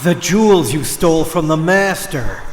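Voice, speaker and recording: A man's voice: on the high side, lively intonation, normal speed, natural voice. An actor playing an earnest, guarded dead man in Hell, in audio drama dialogue and first-person narration. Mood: confrontational. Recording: clear background noise.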